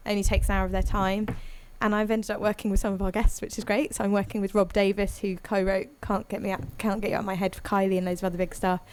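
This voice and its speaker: A woman's voice: medium pitch, little pitch movement, fast, hardly any pauses, slight background noise. A singer-songwriter, a woman, chatting casually and rambling with many ums as a guest on a live audio room call. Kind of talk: casual chat after a live set.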